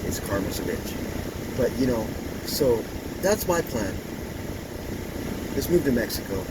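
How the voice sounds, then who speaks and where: A man's voice: mid-range voice, very animated, unhurried. A man of fifty giving an opinionated, meandering monologue, full of "you know" fillers, while sitting in his truck. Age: 50s. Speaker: vlogger. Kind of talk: rambling casual monologue. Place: inside a truck. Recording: distant mic, loud engine and road noise. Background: vehicle engine and air conditioning hum.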